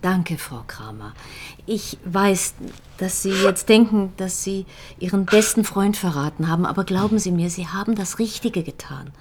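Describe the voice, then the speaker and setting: An actress playing a firm police detective who questions people directly and probingly in a screen drama. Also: female voice, mid-range voice, animated, normal speed, a little mellow, slight background noise, natural voice. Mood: calm, reassuring. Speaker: police investigator. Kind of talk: dramatic film dialogue.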